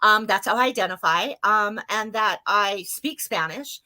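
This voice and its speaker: Bright-voiced older woman on a call. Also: medium pitch, measured pace. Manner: earnest, hesitant with fillers. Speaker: guest. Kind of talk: casual video-call interview. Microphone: video call.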